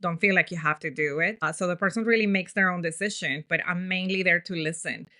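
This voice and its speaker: A woman's voice: lowish voice, quick pace, sharp tone. A woman from Colombia, a therapist, hosting a casual podcast conversation, chatty and emphatic with frequent laughs.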